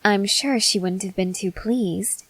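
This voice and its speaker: A woman plays a possessive vampire girlfriend in an ASMR roleplay, speaking very slowly and intimately to one listener. Her mid-pitched voice is measured and quietly menacing, dipping into breathy whispers. The sound is close and dry. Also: dry recording. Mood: jealous disbelief. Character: possessive, softly menacing female vampire lover.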